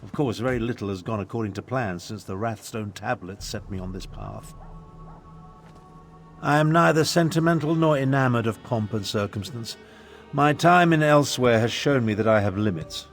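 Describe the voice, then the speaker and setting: A man's voice: medium pitch, moderate pace, soft background music, close to an everyday voice. A man playing a haughty, curmudgeonly older battle mage in a fantasy game, with dry and pompous delivery. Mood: grumpy, dismissive. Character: crotchety, haughty aging human battle mage. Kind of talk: video game character dialogue.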